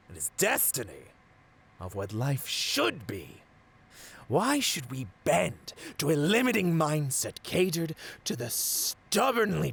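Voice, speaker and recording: A man playing a gloating, seductive supervillain in an ASMR roleplay, theatrical and grandiose, savoring words and often dropping to a breathy near-whisper. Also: fairly high pitch, a bit bright, exaggerated voice. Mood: indignant, fervent. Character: gloating seductive male supervillain taunting a caged hero. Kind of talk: ASMR villain roleplay monologue.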